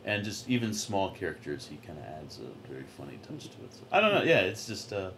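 A man with a deep voice, a sketch comedy performer chatting casually in an interview, rambling and trailing off with many fillers. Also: very animated, fast talker, fairly bright tone, slight background noise.

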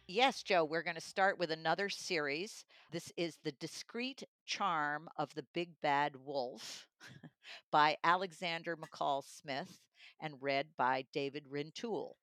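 A woman's voice: deep voice, slow, a bit bright, no room sound. A middle-aged or older woman giving a conversational book review. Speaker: magazine publisher and audiobook reviewer.

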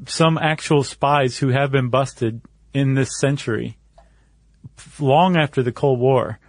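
A man's voice: medium pitch, a little slow, no echo. An American man co-hosting a podcast, explaining things in a casual, conversational way. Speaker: podcast co-host.

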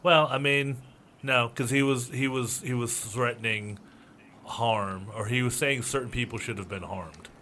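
A man's voice: mid-range voice, steady tone, measured pace, bright, forceful tone, slight background noise. A man co-hosting a casual podcast, laid-back and wry. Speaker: podcast co-host. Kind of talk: casual chat.

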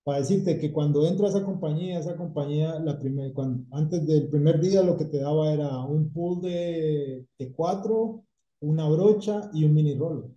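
A middle-aged man from Colombia, a painting company owner, telling his story in a relaxed casual chat and dropping English words into his Spanish. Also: on the high side, normal speed. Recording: echoey room, dull lo-fi sound.

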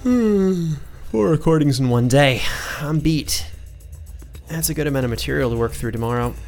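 An actor playing a tired, absent-minded archivist in a fantasy audio drama, muttering creakily to himself. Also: male voice, a little high, lively intonation, normal speed, darkish tone, soft background music. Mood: tired, musing.

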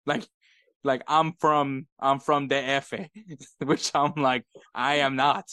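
American man, podcast co-host, animated storyteller, clear voice, dry room. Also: medium pitch, slow, dry recording. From United States. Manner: laughing often, animated storytelling. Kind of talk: casual chat.

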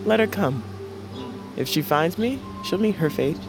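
A weary fantasy assassin, brooding and defiant. His voice sits a little high and he talks in terse phrases, with music playing behind him. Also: animated, measured pace, vocal fry. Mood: resolute. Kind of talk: fantasy audio drama acting.

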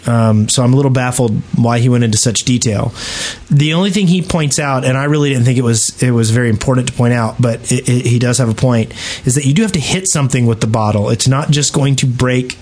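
A man's voice: mid-range voice, fast, few pauses, darkish tone. A man co-hosting a gaming podcast in casual conversation, with a somewhat grumbling, argumentative manner. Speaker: podcast co-host. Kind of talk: casual chat about gaming.

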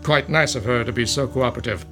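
A man's voice: medium pitch, a bit bright, faint music behind, lightly acted. Man as a smug, mock-polite horror villain. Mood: smug gloating. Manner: genteel, gloating, unhurried politeness. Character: smug, mock-polite male mad villain spreading spores. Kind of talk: horror radio drama acting.

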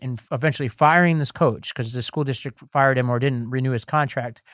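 Podcast co-host, male, mid-pitched, walks listeners through court rulings in a loose, chatty way; dry close-mic sound. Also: fairly bright tone, no room sound. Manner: explanatory, filler-heavy, restarts. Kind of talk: casual legal news discussion.

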